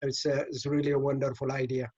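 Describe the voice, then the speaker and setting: A man from Ethiopia with an Ethiopian accent, earnestly offering a halting, self-correcting comment at a virtual meeting. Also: medium pitch, flat delivery, darkish tone, breathy edge. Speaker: club member. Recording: dull call audio with static noise.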